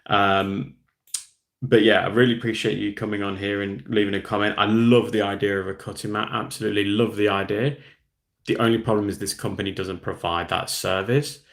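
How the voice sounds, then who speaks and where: A man's voice: on the low side, fairly even intonation, a little fast, vocal fry, a bit bright. A man, host of a hobby channel, chatting casually on a livestream, rambling and reading out viewer comments. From Australia.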